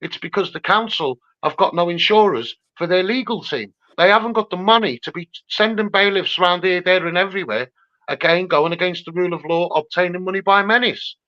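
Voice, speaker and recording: A man's voice: high-pitched, brisk, pushed and bright. A man with a Scouse accent giving an impassioned, insistent campaigner's talk full of rhetorical repetition. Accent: Scouse (Liverpool). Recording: slightly dull, lo-fi sound.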